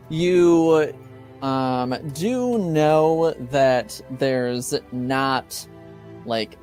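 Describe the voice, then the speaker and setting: A game master narrating a tabletop roleplaying game casually, with halting, hesitant phrasing. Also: mid-range voice.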